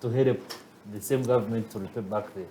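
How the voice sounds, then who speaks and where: A man with a Ugandan accent speaks in a measured way on a talk show. His voice is mellow and warm, and he keeps asking rhetorical questions as he builds his argument. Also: on the low side, poor audio. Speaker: advocate guest.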